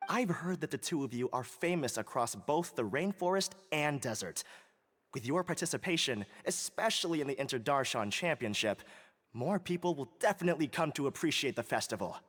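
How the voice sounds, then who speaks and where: Lively, courteous academy official. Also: male voice, fairly high pitch. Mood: polite, reassuring. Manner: polite, measured, obliging. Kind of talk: video game voice acting. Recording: clear music under the voice.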